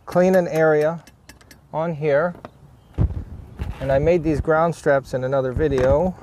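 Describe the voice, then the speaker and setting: A man's voice: a little high, fairly even intonation, a little slow, a little mellow, a little hiss or hum. A man narrating a hands-on DIY job casually as he works, thinking aloud in short, plain, unscripted remarks. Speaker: DIY vlogger demonstrating a vehicle repair. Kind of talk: casual hands-on how-to narration while working.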